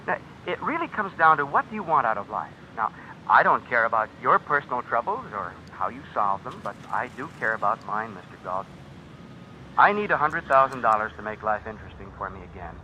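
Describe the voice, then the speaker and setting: An actor in an old radio drama playing a smug, menacing blackmailer, a writer who talks with insinuating mock politeness. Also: male voice, mid-range voice, very animated, a little fast, pushed and bright. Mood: calm menace. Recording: dull, noisy old radio recording.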